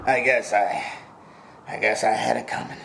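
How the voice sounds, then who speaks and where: A man's voice: on the low side, moderate pace, background noise, close to an everyday voice. A man playing a tough, wary, wisecracking gang boss in a hard-boiled noir radio comedy, speaking tersely. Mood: resigned, suspicious.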